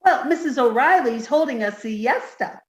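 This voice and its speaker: A woman's voice: high-pitched, animated, normal speed. A woman playing a sweet, scatterbrained and innocent young woman in a radio comedy. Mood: innocent, eager. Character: sweet, dim-witted naive young woman, Irma. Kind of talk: 1950s radio comedy acting. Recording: echoey room.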